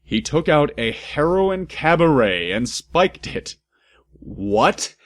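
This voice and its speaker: A man's voice: fairly high pitch, very animated, takes it slowly. A man doing a comic dramatic read-aloud, theatrical and exaggerated, breaking into amused asides. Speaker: narrator and host of a comedic fan fiction reading.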